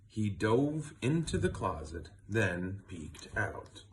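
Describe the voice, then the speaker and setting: Male storybook reader: lowish, very expressive voice, faint noise behind. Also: takes it slowly. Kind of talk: animated children's read-aloud.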